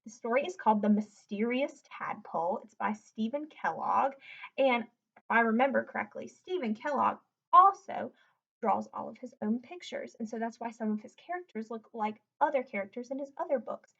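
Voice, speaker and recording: High-voiced woman reading a children's storybook. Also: animated, normal speed, vocal fry, fairly bright tone, highs cut off. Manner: explains simply, as to young children. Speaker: read-aloud host. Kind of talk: picture book read-aloud with chatty asides. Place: indoors at home, sitting on the floor.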